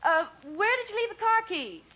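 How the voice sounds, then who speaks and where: A woman's voice: very high-pitched, sing-song, fairly quick, exaggerated voice. A woman playing a long-suffering, affectionate housewife in a 1940s radio comedy. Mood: fond, anxious. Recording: muffled old radio recording, loud noise.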